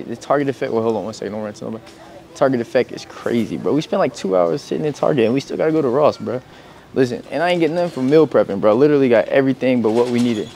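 A young man talks straight to his viewers in relaxed, slangy vlog chat. His voice sits at an ordinary middle pitch. Faint traffic and outdoor noise hum behind him. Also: vocal fry. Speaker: vlogger. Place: outdoors in parking lots and inside a big store.

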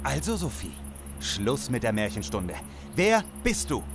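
An actor playing a distrustful, hot-tempered survivor in a German zombie audio drama, stern and interrogating. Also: male voice, mid-range voice, huge pitch swings, unhurried, music in the background.

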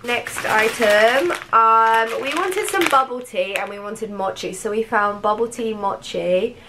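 A woman in her twenties chatting casually and expressively to a vlog, croaky from a cold and sore throat. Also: on the high side, unhurried. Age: 20s. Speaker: vlogger. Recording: clear background noise.

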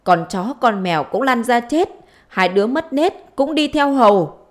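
A woman's voice: mid-range voice, lively intonation, normal speed, natural voice. A woman voicing a spiteful, foul-mouthed middle-aged village wife in a story, scolding, wailing and taunting. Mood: cursing.

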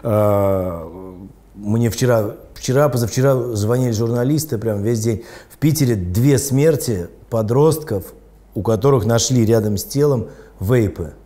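A man with a dark, relaxed voice answers as an expert guest. He explains in a halting way, often abandoning a phrase and starting it again. His pitch sits in an ordinary male range. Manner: halting, often breaks off and rephrases. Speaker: medical expert guest on a health show. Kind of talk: explanatory interview talk.

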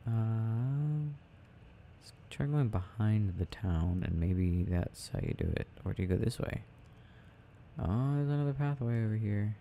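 A man playing a sleepy, tender boyfriend in a soft ASMR roleplay, murmuring to himself as he games and sometimes dropping into a whisper. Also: lowish voice, lively intonation, measured pace, vocal fry, slight background noise. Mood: absorbed, mildly puzzled. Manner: murmuring, dropping into whispers, hesitant self-talk. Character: sleepy, tender, sensitive boyfriend rambling while gaming. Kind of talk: soft intimate ASMR roleplay, unhurried rambling.

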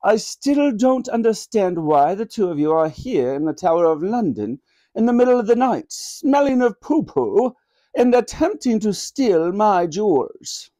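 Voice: medium pitch, sing-song, a little slow, warm tone, voice pushed up. A reader voicing a stately elderly Queen in clipped, formal tones for a children's storybook. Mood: stern, disapproving.

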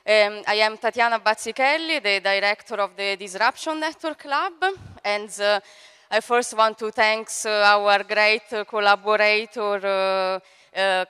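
A woman's voice: mid-range voice, measured pace, clear, resonant tone. A woman in her forties with an Italian accent, hosting a conference and introducing speakers on stage with many fillers, into a handheld mic. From Italy. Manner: hesitant, many fillers, warm thanks. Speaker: event director and host. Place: large event hall on stage. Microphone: handheld microphone. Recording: long hall reverb.

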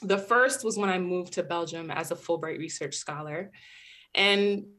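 A young American woman from New Jersey giving a measured, reflective personal talk as a PhD student, recorded over a webcam. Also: fairly low pitch, pushed and bright. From New Jersey, USA. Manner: earnest, measured, reflective storytelling. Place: indoor room at home.

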